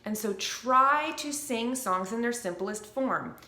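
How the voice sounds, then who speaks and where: A woman coach teaching singing basics in a bright, ringing voice. Her delivery is clear and upbeat, sometimes breaking into brief sung notes to show what she means. The room adds a roomy echo around her. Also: fairly high pitch, animated, slow pace. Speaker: vocal coach. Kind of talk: instructional video.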